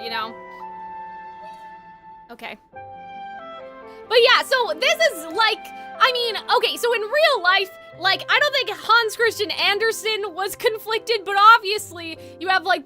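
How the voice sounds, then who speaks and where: A woman giving chatty, rambling, reactive commentary while streaming a game. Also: very high voice. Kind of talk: casual live gameplay commentary. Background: music.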